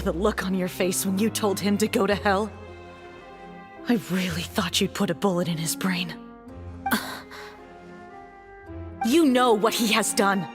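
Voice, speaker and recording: A woman's voice: on the high side, lightly acted. An actress voicing a bitter, grieving young woman bent on revenge in a game cutscene, intense, wounded and accusing. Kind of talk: dramatic video game cutscene acting.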